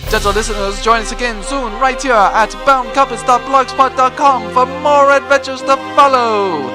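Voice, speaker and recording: Guy announcer doing old-time serial outro style, high voice, music behind. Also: moderate pace. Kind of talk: scripted, mock old-time serial narration.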